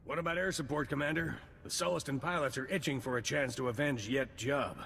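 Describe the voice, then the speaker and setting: A Republic officer, gravelly, matter-of-fact, long reverb. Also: male voice, medium pitch, brisk, a bit bright, a little hiss or hum. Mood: businesslike. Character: male Republic officer, dutiful and practical. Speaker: military officer. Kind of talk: game voice acting.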